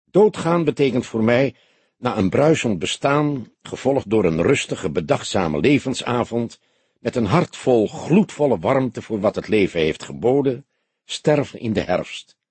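A man voicing an old police detective in an audiobook, solemn, philosophical and gentle, speaking in a grave, measured, lecturing way. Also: mid-range voice, very animated, breathy edge, no echo. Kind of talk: audiobook character dialogue.

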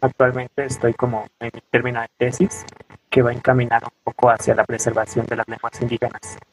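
A man's voice: mid-range voice, completely flat delivery, brisk, faint music behind, rough recording. A young adult man from coastal Oaxaca with a Mexican accent, explaining things conversationally as an interview guest and often checking in with short tag questions. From coastal Oaxaca, southern Mexico. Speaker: podcast guest, student and weaver.

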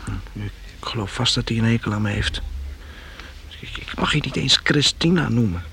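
A man's voice: mid-range voice, lively intonation, normal speed, vocal fry, fairly bright tone, a little hiss or hum. A man playing an earnest, modest young man in a radio play, speaking hesitantly and informally. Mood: shy, earnest.

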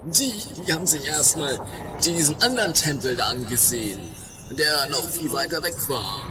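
A performer playing a hammy, lovestruck suitor in an improvised comedy radio play, speaking in a theatrical, drawn-out way. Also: mid-range voice, lively intonation, noisy background, lightly acted. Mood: earnest. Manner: drawn-out, theatrical, mock-romantic.

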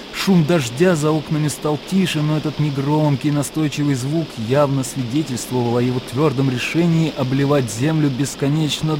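A man narrating a literary radio drama in measured, expressive storytelling. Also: mid-range voice, background noise. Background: music.

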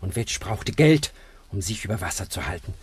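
An actor playing a bitter, broken, drug-addicted forger, agitated and self-pitying, in a 1960s German radio crime drama. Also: male voice, fairly low pitch, very animated, normal speed, a little mellow, breathy edge, no room sound, full character voice. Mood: bitter, confessing.

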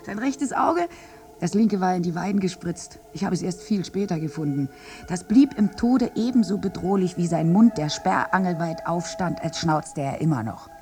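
Bitter, wounded war survivor. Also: female voice, fairly low pitch, expressive, moderate pace, dark tone, faint music behind. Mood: grim, sardonic. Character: wounded, bitter village woman among soldiers, survivor of a massacre. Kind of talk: theatrical radio drama monologue.

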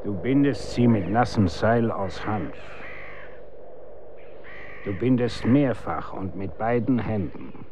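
An actor in a German radio drama playing a stern, grave executioner instructing his son in commanding, archaic, measured diction. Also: on the low side, dark tone, slight background noise.

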